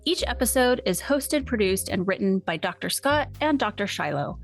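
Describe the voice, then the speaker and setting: A woman's voice: mid-range voice, lively intonation, moderate pace, faint music behind. A woman co-hosting a podcast in a casual, candid and wry way. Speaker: podcast co-host. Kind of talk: casual podcast discussion of true crime.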